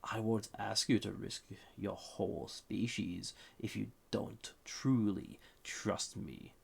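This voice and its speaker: A man voicing a suave, flirty and dangerous banished villain in an audio roleplay, with a husky, breathy voice and a formal, softly condescending manner. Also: fairly even intonation, slow pace, exaggerated voice. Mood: conspiratorial, persuasive. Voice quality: deep, gravelly, husky, breathy. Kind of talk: scripted roleplay audio drama.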